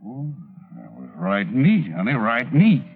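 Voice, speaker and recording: Folksy Southern tough, tinny old recording. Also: male voice, mid-range voice, wildly swooping pitch, faint music behind, heavily acted. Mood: smugly menacing. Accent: American Southern. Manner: slow folksy drawl, falsely polite menace. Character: folksy drawling male cargo pilot, calmly menacing. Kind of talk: 1940s radio acting. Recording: tinny, narrow-band old radio recording.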